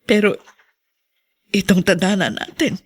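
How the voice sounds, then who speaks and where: A woman's voice: medium pitch, measured pace, slightly rough. Plaintive, grieving middle-aged mother. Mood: grieving, grateful. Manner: sentimental, plaintive. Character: devoted, grieving mother of a grown son. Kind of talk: TV melodrama acting.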